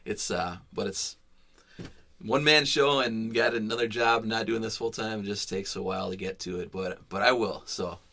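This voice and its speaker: A man singing a soulful original ballad on a live stream, then chatting softly and rambling to his viewers. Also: normal speed, gravelly. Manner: soulful high sung ballad; soft, rambling, mumbled talk with many fillers. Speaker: singer-songwriter streaming live. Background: piano accompaniment.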